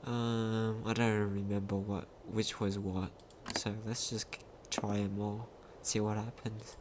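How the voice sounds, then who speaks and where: A man's voice: on the low side, level tone, unhurried, noisy background. A man giving casual let's play commentary, thinking aloud in a hesitant, rambling way. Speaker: gaming video host. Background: video game music.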